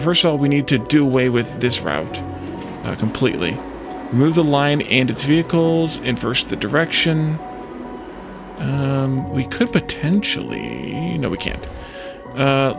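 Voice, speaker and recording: A man hosts a video game playthrough, talking through his decisions as he goes. His mid-pitched voice has a noticeable creak, and his delivery is hesitant and unscripted. Music plays clearly behind him. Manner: thinks aloud, hesitant and halting. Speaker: let's play host. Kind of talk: unscripted gameplay commentary. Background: clear background music.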